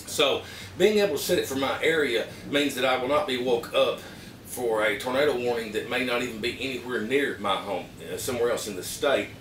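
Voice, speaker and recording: A man with an Arkansas Southern accent giving a casual, plain-spoken, halting product review to camera. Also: medium pitch, fairly bright tone. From Arkansas, United States. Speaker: vlogger reviewing emergency gear. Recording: roomy, distant mic with background noise.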